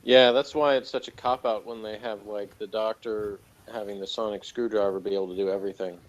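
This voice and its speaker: A man chatting casually as a review co-host, speaking in a hesitant, halting way. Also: lowish voice, fairly even intonation, highs cut off. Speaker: podcast co-host reviewing audio dramas.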